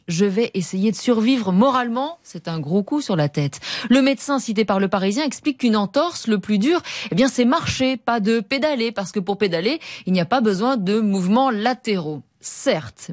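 Female radio presenter, middle-aged. Scripted press-review read, mid-pitched, dry studio sound. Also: a little mellow, dry recording. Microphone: studio desk microphone, close.

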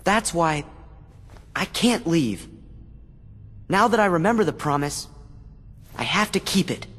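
High, husky boy hero. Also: animated, a little slow, fairly bright tone. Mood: resolute. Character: earnest, determined teenage boy hero. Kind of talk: video game cutscene acting. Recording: long hall reverb.

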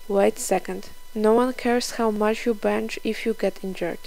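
Slightly creaky young woman. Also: a little high, warm tone, low-quality recording. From Poland. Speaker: fitness vlogger. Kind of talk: scripted instructional voice-over.

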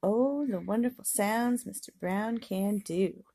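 A woman's voice: mid-range voice, slow, mellow, slightly rough. An older woman reading a picture book aloud as a grandmother to a young child, playfully drawing out sound words and coaxing the child to repeat. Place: home indoors, beside a patchwork quilt. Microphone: handheld phone or camera close by.

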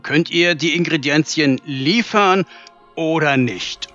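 Man playing officious castle servant, flustered, highish voice, dull recording, fantasy audio drama. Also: slow pace, sharp tone, faint music behind. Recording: dull, band-limited sound.

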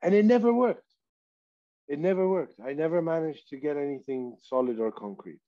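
A man from Saudi Arabia in his thirties, speaking slowly and reflectively as an artist guest on a video call interview, sometimes talking about himself in the third person. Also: a little high, lively intonation, warm tone. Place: small room indoors. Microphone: headset on video call. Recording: dull lo-fi call audio.

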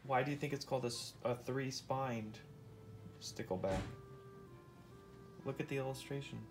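A man's voice: medium pitch, moderate pace, music in the background. A man reading a nonfiction picture book aloud to his child, explaining and asking questions as he goes. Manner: explains and asks questions as he reads.

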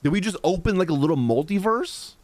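A man's voice: high voice, lively intonation, brisk, a little mellow, dry recording. A young man giving casual live reaction commentary as a game streamer, close to the microphone. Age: young adult. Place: small indoor streaming room. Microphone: close studio microphone on a boom, headset on.

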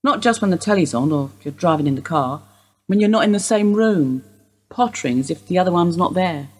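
A husky-voiced older widow, played by a woman, speaks in a radio drama. She is dry, blunt and unsentimental under police questioning. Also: fairly low pitch, lively intonation, fairly quick, darkish tone. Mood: wry. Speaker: actor in a radio play.

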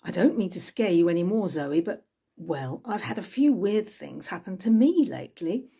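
Older lady as nervy apologetic woman coworker in a novel, husky, mellow. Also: lowish voice, lively intonation, moderate pace, exaggerated voice. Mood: uneasy. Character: Alice, uneasy young female office colleague.

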